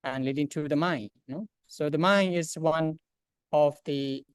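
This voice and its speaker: A man introducing a monastic class, speaking haltingly with many fillers. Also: on the high side, clean tone, no echo, studio quality. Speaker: class organizer. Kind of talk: hesitant spoken introduction in English.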